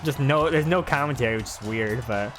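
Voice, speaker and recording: Man casually narrating gameplay, lowish-middle voice. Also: brisk, a bit bright, soft background music. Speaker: video game commentator.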